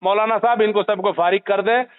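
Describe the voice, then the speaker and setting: High-pitched man on a tinny phone line. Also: steady tone, fairly quick, clean tone. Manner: insistent, moralising, holds the floor. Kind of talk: confrontational phone conversation. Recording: narrow tinny phone call recording.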